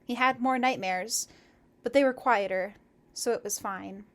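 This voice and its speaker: A woman hosting a casual livestream read-aloud, chatting and reading in an expressive, effusive, giggly way. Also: fairly high pitch, unhurried. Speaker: livestream host reading fan fiction aloud.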